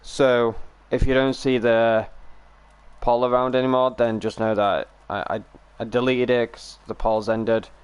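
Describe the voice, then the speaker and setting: A young man talks loosely to his live stream audience, wandering from one gripe to the next. His throat is still healing, so the voice catches and cracks now and then, yet its tone stays smooth and resonant. The pitch sits at an ordinary middle height. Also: flat delivery, a little slow. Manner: rambling, chatty, swears and grumbles. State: recovering sore throat, voice breaking. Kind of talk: casual live stream chat while gaming.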